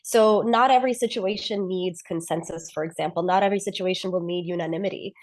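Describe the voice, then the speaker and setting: A woman's voice: on the low side, fast talker. Reflective woman answering a panel question. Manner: reflective, thinks aloud with restarts. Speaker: board candidate. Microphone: video call.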